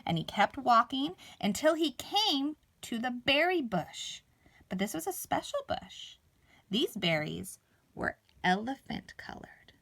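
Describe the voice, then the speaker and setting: A woman reading a children's story aloud expressively, with dramatic pauses and emphasis. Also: high voice, very animated, vocal fry, a bit bright.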